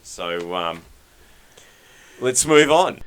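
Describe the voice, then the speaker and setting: Deep-voiced, bright co-host. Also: male voice, very animated, drawn-out words, clean tone. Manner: laid-back, self-deprecating. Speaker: podcast co-host. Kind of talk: casual podcast chat.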